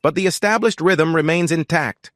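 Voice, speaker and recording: A man's voice: on the high side, normal speed, a bit bright, no room sound, studio quality, pitched above the performer's own voice, lightly acted. A man voicing a smug, polished businessman who boasts and dodges in corporate language, in an audio drama. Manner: smooth corporate jargon, boastful. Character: smug male businessman rival, polished and evasive.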